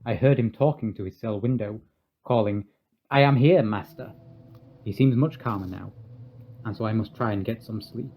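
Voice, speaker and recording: A man playing an earnest, formal Victorian asylum doctor in a radio drama, speaking in a measured, grave way. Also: lowish voice, husky. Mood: grave, troubled. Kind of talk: radio drama acting and narration. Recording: dull lo-fi audio, faint noise.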